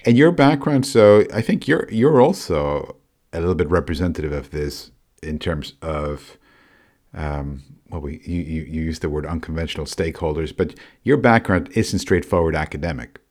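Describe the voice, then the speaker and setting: Deep-voiced male interviewer. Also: lively intonation, a little mellow. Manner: halting, stumbles over phrasing. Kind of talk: interview questions.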